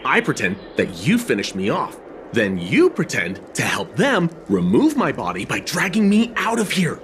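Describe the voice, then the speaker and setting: An actor playing a jittery, cheeky young male guard in a video game, talking informally in an excitable, wheedling way. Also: medium pitch, moderate pace, slight background noise. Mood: nervous, scheming. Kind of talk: scripted video game cutscene acting.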